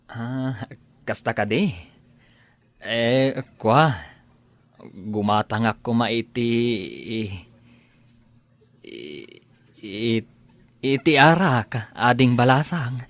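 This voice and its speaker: A man's voice: medium pitch, extremely slow, pauses often, fairly bright tone, no room sound. A man with an Ilocano accent playing an easygoing village drinking buddy in an audio drama, colloquial and apt to stammer when flustered. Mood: flustered, sheepish. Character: easygoing village drinking buddy, shy around a young woman.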